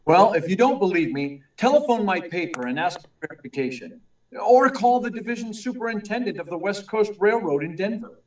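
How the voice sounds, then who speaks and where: A man's voice: high voice, expressive, fairly quick, recorded from a distance. A man playing an earnest, urgent young newspaper reporter in a 1940s-style radio drama. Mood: urgent, insistent. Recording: echoey room, away from mic.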